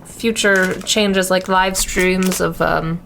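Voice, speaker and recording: A woman's voice: fairly low pitch, steady tone, slow, vocal fry, fairly bright tone, slight background noise. A woman co-hosting a podcast in casual chat, with an irreverent, self-mocking, sweary manner. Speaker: podcast co-host.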